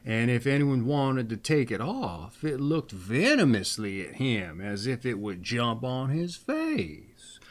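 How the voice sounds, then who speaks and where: A man tells old fairy tales in a playful, folksy way. His delivery is slow and deliberate, with lively rises and falls in pitch. He sounds like a theatrical channel host reading aloud. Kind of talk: expressive fairy tale read-aloud with casual folksy asides.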